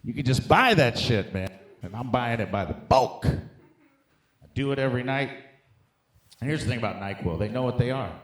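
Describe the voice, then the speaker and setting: American stand-up comedian in an echoey room. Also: male voice, mid-range voice, animated, normal speed, long pauses. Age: middle-aged. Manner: conversational, profane, emphatic, addressing the crowd as dude and guys. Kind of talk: stand-up comedy set before a live audience. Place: indoor comedy venue with live audience.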